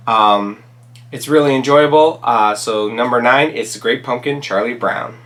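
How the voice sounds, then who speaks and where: A man with a cold-roughened, raspy voice chats casually as host of his own channel. He rambles, restarts his sentences and fills pauses with ums as he walks through his favorite movies. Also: medium pitch, a bit bright, a little hiss or hum. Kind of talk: casual solo commentary.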